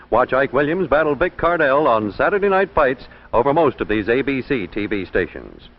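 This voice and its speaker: A man with an American accent reading scripted promotional announcements in 1950s broadcast style. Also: mid-range voice. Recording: muffled vintage broadcast.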